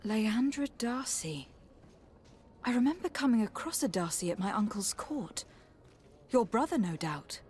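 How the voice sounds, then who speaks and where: A woman's voice: on the high side, sing-song, measured pace, long pauses, darkish tone, a little hiss or hum. An actress playing a poised, courteous young noblewoman diplomat in a video game, speaking formally and reassuringly. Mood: sympathetic. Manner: polite, composed, formal. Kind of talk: scripted video game dialogue.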